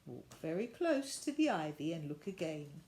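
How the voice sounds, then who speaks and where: A woman's voice: deep voice, sing-song, normal speed, a little mellow. A woman voicing a friendly, helpful man in a children's story, genial and obliging.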